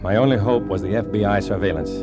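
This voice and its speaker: A man's voice: lowish voice, fast, loud music behind the voice, close to an everyday voice. An actor playing a tough, clipped undercover agent in a 1950s radio drama, who also narrates his story. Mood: grim narrating. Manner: hard-boiled, clipped.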